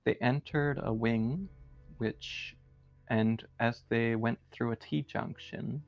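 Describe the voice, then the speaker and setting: A man playing a tabletop game, recapping the story in a measured way and correcting himself as he goes. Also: medium pitch, fairly even intonation, creaky, highs cut off, faint music behind. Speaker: player.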